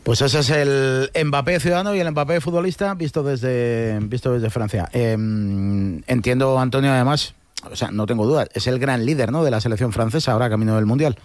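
A man hosts casual sports talk. His voice sits at an average pitch, recorded dry with no room sound. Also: fairly quick, no echo. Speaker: radio sports show host.

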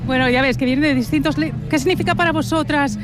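A female reporter talks quickly in a high voice, live on location, with faint music behind her. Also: fairly even intonation.

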